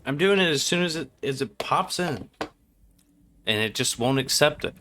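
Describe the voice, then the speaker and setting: A man's voice: fairly high pitch, animated, moderate pace, bright, forceful tone, a little hiss or hum. A man livestreaming a video game with casual, sweary, slangy chat and reactions. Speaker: livestream gamer host.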